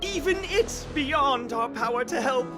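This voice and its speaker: An excitable, talkative game sidekick. The voice swings widely in pitch, eager and effusive yet mock-dignified in its formal wording, with loud music underneath. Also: unhurried. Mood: effusive gratitude. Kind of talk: video game voice acting.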